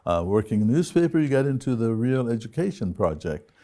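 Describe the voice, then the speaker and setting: A man hosting a television interview, prompting his guest in deliberate, short phrases. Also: medium pitch, big pitch swings, dark tone. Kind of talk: broadcast interview questioning. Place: broadcast interview studio.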